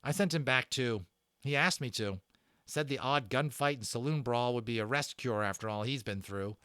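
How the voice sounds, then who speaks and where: A man's voice: mid-range voice, moderate pace, bright, forceful tone, clear, resonant tone, no echo, clean studio sound, lightly acted. A man voicing an eager, friendly schoolboy in a storybook, with a casual, chatty manner. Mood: chatty, amused.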